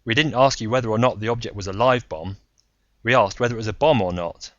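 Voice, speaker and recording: A man as a calm, candid explaining agent. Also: fairly low pitch, fast, no room sound, highs cut off, voice pushed up, lightly acted. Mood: explanatory.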